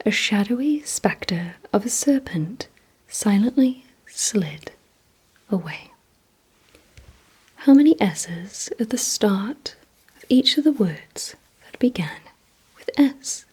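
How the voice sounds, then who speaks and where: A woman performs ASMR in a very breathy, almost entirely unvoiced whisper. She speaks slowly and deliberately, guiding the listener through instructions in short, hushed phrases. The tone is soft and mellow, with little edge to it. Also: mid-range voice, lively intonation, rough recording. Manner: slow, deliberate, hushed delivery with short pauses. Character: calm, softly commanding female vampire examiner. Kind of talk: whispered ASMR roleplay, giving instructions and word games.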